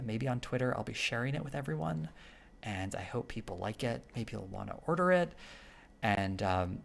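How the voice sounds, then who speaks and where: Male streamer, raspy, heavy gravel in the voice, loose rambling delivery. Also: medium pitch. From Canada. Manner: rambling, many fillers and restarts. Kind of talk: casual live-stream chat with viewers.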